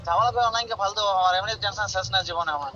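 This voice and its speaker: Muffled, very fast defensive man on phone. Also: high voice. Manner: protesting, defensive. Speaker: prank call target. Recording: dull phone call, loud crowd and traffic.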